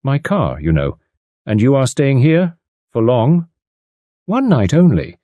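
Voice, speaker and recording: A man voicing a fussy, excitable little elderly English gentleman with a prim, eager manner. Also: mid-range voice, slow, no echo, lo-fi sound, voice pushed up. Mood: excited.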